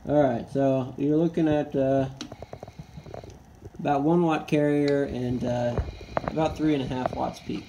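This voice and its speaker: Male presenter, mid-pitched, unhurried and matter-of-fact, casual demo delivery with halting phrasing, clearly noisy handheld recording. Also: fairly even intonation. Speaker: presenter of radio equipment tests. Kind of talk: casual hands-on demonstration. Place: indoors at a wooden table.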